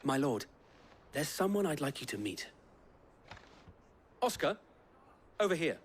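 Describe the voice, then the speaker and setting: A man's voice: a little high, very animated, a little slow. A man playing a loyal, courteous knight who commands men in a game drama, speaking formally and dutifully. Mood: encouraging. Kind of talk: video game drama acting.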